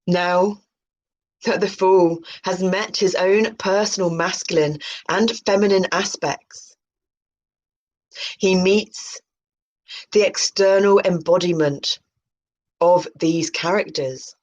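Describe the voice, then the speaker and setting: Slow-paced woman on a dull, lo-fi recording. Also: fairly low pitch, fairly even intonation, a little mellow. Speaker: video host. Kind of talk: reading aloud about tarot with chatty spiritual asides. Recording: muffled, dull lo-fi sound.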